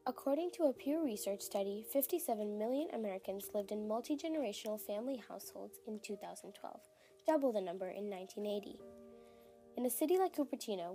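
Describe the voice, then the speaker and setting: A woman's voice: mid-range voice, animated, mellow, soft background music. Teen girl narrating a news report. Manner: measured voice-over reading statistics. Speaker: student reporter. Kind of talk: scripted narration.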